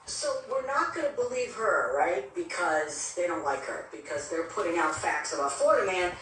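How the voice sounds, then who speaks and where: A woman's voice: fairly low pitch, animated, normal speed, a bit bright. A woman giving casual, hesitant commentary on online drama, often repeating her words, hot and sweaty. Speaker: TikTok commentator. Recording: echoey, distant, noisy playback.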